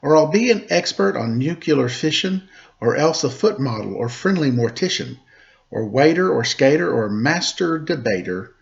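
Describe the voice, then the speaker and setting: A man's voice: a little high, creaky. A man speaking as a teacher at a children's story time, with a playful, wry, conversational manner. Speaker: teacher reading aloud to children. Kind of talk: picture-book story time, casual chat. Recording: dull, lo-fi sound.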